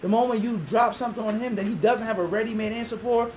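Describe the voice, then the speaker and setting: A man's voice: sits high, quick pace, a little mellow, slight background noise. A man in his thirties answering an interview in a small classroom, emphatic and full of rhetorical questions. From Philadelphia. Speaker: interviewee, Islamic teacher.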